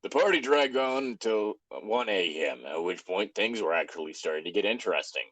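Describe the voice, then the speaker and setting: A man with a deep voice reads stories aloud as a co-host. His delivery swings widely in pitch and is captured close and dry, with a casual, chatty style. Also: lively intonation, a little fast, fairly bright tone, dry recording, low-quality recording. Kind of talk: story reading with casual banter.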